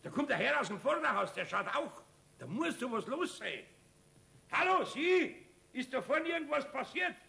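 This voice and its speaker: Nosy Bavarian pal: high, creaky, agitated, wildly animated delivery for a radio play. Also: male voice, normal speed, full character voice. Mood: excited alarm. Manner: agitated, dramatic. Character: nervous, nosy male card-playing friend. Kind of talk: children's radio play acting.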